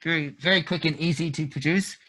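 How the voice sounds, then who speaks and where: A man's voice: a little high, brisk, fairly bright tone. A man casually explaining practical tips on a group video call. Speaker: guest.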